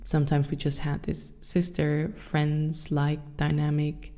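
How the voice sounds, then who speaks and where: A woman in her thirties with a German accent, hosting her own podcast and speaking softly and thoughtfully with some hesitant fillers. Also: deep voice, completely flat delivery, slow. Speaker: podcast host. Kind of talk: reflective solo podcast talk.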